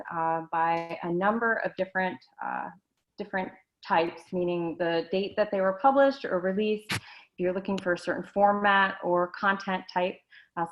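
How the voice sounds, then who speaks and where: Woman, low voice, hesitant presenter, dull lo-fi call audio. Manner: slow, halting, many fillers. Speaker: learning center supervisor. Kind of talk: online presentation.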